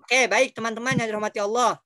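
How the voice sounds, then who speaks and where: A man's voice: very high-pitched, steady tone, fast talker, sharp tone, dry recording. Male teacher, online class, patient, repeats instructions, dry close sound. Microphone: video call.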